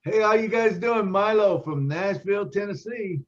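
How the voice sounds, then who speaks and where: A man's voice: high voice, moderate pace, dull audio. A man co-hosting an online show, introducing the guest in a casual, friendly way over a video call. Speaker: co-host.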